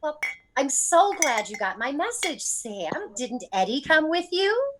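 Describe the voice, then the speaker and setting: A genteel, naive mother acted by a woman in classic radio play style. The voice is very high and animated, chatty and fluttery, full of sweet innocence. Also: a little slow, noisy background. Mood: cheerfully innocent. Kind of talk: old-time radio drama acting.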